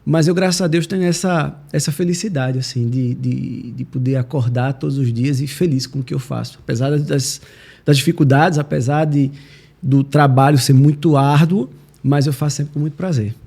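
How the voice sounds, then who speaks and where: A man with a Northeastern Brazilian accent from Recife, answering interview questions in a reflective, halting, conversational way. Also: on the high side, steady tone, creaky, dark tone, husky. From Recife, Pernambuco, Brazil. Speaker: podcast guest, judge and law professor.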